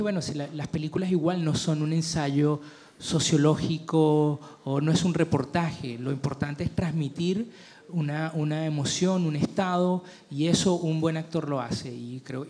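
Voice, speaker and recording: A middle-aged man from Chile, a film director answering festival interview questions thoughtfully, with many pauses and restarts. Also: on the high side, warm tone.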